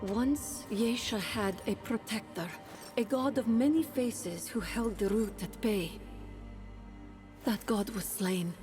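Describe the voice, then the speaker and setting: A serene alien ruler voiced by a woman speaks slowly at a middling pitch in a video game. She is earnest, solemn and lyrical, with music softly behind her. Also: natural voice. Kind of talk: video game dialogue acting.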